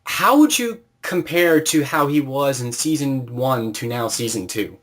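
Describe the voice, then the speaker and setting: A man's voice: mid-range voice, lively intonation, a little slow, fairly bright tone. A man conducting a casual interview, speaking conversationally as the interviewer.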